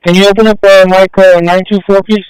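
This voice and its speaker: Crisp, higher-pitched man on radio dispatch. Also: little pitch movement, brisk, no echo, poor audio. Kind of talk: terse radio dispatch traffic.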